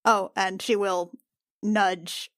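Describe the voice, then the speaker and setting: A woman's voice: medium pitch, level tone, very slow, fairly bright tone, no echo. A woman playing a tabletop roleplaying game, chatting informally and thinking aloud as she goes. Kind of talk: casual chat during a roleplaying game.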